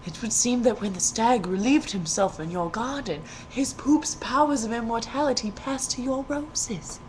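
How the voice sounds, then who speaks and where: High pitch, a woman as an earnest young storybook prince, courtly, formal, declamatory, faint noise. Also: moderate pace, natural voice, rough recording. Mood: dismayed.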